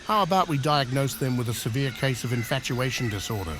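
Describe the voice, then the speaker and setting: A man's voice: mid-range voice, expressive, a little fast, noisy background, natural voice. A man voicing a smug male narrator who mocks the audience with rhetorical questions. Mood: mocking. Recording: crickets chirping behind.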